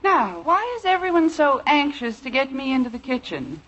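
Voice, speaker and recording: A woman's voice: sits high, sing-song, moderate pace, a bit bright, muffled recording. An actress playing a suspicious, dry-witted wife and mother in a 1950s radio sitcom, questioning and knowing. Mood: dryly knowing. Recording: noisy old radio show recording.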